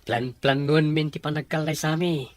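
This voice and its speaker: A man's voice: fairly high pitch, fairly even intonation, normal speed, no echo, lightly acted. A man acting an earnest, respectful young man who is in love, in a radio drama. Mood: glad, friendly.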